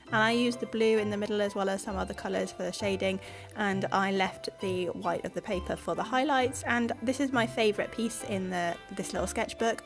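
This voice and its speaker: A woman hosting an art channel, narrating in a chatty, self-deprecating voice-over, croaky as she gets over a cold. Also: mid-range voice.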